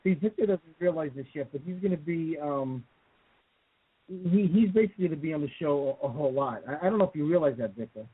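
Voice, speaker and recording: A man with a slightly high voice hosts a paranormal radio show, eager and stammering, repeating words as he pushes ahead. The sound is dry and thin, like a phone line. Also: lively intonation, quick pace, husky, no room sound. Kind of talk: casual radio chat.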